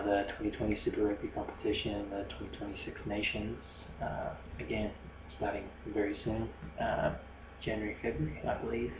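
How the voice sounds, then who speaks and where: Casting: New Zealand male podcast host, low monotone, hesitant rambler, muffled roomy recording with steady noise. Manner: hesitant, many fillers and restarts. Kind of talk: rambling solo podcast monologue.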